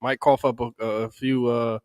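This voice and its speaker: A man from Detroit co-hosting a crypto livestream while sick and congested, speaking in a halting way with frequent stumbles. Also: medium pitch, flat delivery, a little mellow, smooth, no echo, clean studio sound. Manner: halting, stumbles and repeats words. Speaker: crypto show co-host. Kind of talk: casual livestream chat.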